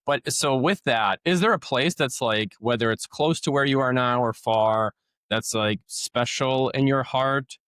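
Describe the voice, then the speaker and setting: A man's voice: medium pitch, a little slow, fairly bright tone, smooth, dry recording, clean studio sound. A man hosting a podcast, asking casual interview questions with lots of fillers and stumbling restarts.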